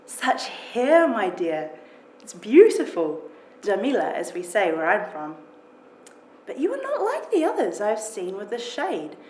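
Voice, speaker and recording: A woman voicing a kind, curious foreign guest with an Arabic-tinged accent and a gentle, unhurried manner, in a storybook reading. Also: mid-range voice, huge pitch swings. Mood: admiring, curious. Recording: roomy echo with background noise.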